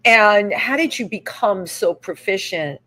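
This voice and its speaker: A woman hosting a live talk show from a restaurant, chatty and animated, often finishing her guest's sentences. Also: mid-range voice, measured pace, a little hiss or hum.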